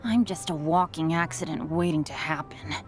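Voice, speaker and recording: A woman's voice: deep voice, steady tone, normal speed, natural voice. A woman voicing an earnest, unlucky teenage boy adventurer in an anime game, boyish and self-deprecating. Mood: sheepish.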